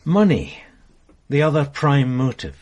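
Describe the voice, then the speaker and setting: An actor playing an earnest, easygoing police sergeant in a radio drama. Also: male voice, medium pitch, big pitch swings, unhurried, darkish tone.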